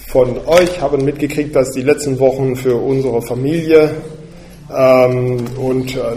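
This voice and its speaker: Man from Belgium preaching, halting and echoey. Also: medium pitch, dark tone, a little hiss or hum. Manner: hesitant, halting, many fillers. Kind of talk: personal sermon.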